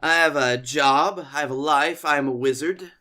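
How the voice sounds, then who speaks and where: A man co-hosting a comedy podcast, telling casual stories in an animated, playfully exaggerated way. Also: a little high, moderate pace. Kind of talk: casual comic storytelling.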